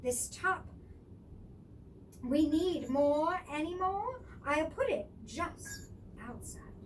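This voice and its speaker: A motherly storybook bear, acted by a woman reader, speaks in a practical, easygoing way. Her voice is very high and somewhat distant from the mic. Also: animated, very slow, full character voice. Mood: matter-of-fact. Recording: distant microphone with clear background noise.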